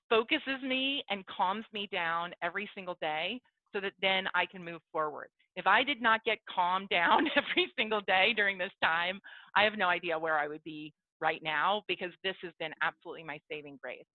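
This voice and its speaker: A woman's voice: fairly low pitch, dry recording. A woman in her thirties speaking on a video call in a chatty, self-deprecating way, and acting out both sides of a conversation she recounts. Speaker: business networking speaker. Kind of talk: casual motivational talk. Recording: dry, tinny phone-like audio.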